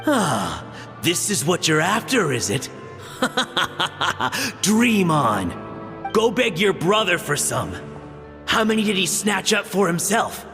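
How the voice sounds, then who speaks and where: An actor playing a scornful, aggressive bully who taunts and mocks in a dramatic video game scene. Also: male voice, high voice, sing-song, heavily acted. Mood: mocking scorn. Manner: sneering, mocking, drawn-out taunts. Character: scornful, aggressive man jealously taunting a beggar. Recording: long reverb, faint music underneath.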